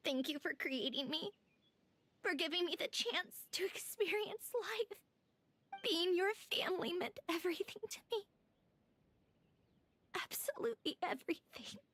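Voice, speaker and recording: A woman voicing a reserved female artificial being in a video game, measured and tender in her delivery. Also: very high voice, animated, bright, forceful tone, full character voice. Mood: heartfelt. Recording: music behind the voice.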